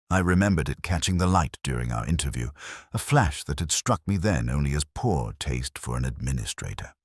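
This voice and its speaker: A man reading a scripted first-person detective story as narrator, in a measured, solemn, literary manner. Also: very deep voice, slightly rough, dry recording, studio quality.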